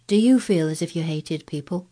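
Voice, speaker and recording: A woman voicing an anxious, excitable sickly young boy in a storybook reading, eager and pleading. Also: low-pitched, moderate pace. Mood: wistful.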